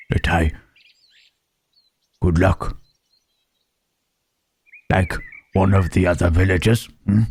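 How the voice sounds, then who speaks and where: A man voicing a gentle, self-loathing Frankenstein's monster in an ASMR roleplay, speaking in halting, formal phrases with a husky, rough voice. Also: low-pitched, full character voice. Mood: wistful, tender. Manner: halting, formal phrasing with searching tag questions. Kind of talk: slow ASMR roleplay monologue.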